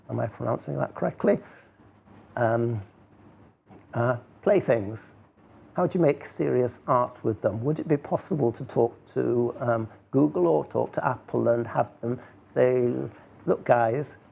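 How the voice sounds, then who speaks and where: A man's voice: mid-range voice, vocal fry, warm tone. A man speaking informally as he gives a talk and takes questions, with a wry, rhetorical way of talking.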